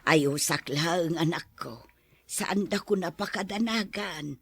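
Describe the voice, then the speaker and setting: A woman playing a remorseful, frail elderly grandmother in a radio drama, with a husky, breathy voice. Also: on the low side, slow pace, fairly bright tone, full character voice. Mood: grateful, humbled. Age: older. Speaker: drama actor.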